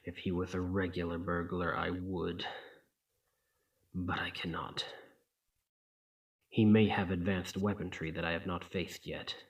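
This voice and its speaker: Courteous 19th-century vampire, played by a man, deep and breathy, gentle, formal and protective, ASMR roleplay. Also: level tone, takes it slowly, long pauses, exaggerated voice, low-quality recording. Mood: alarmed, hushed. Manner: gentle, formal, old-fashioned phrasing. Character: desperate, courteous, protective male vampire of the 1820s.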